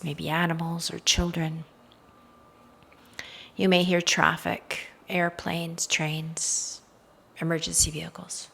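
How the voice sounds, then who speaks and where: A woman leading a guided meditation in a soothing, hypnotic style, with soft trailing phrase endings. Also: low-pitched, creaky.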